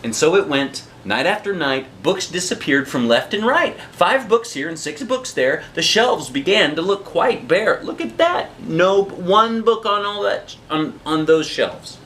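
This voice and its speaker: A man's voice: high-pitched, animated, unhurried, hardly any pauses, a bit bright, slight background noise. A man reading a picture book aloud as a dad would, with dramatic rhythmic phrasing and casual asides to children.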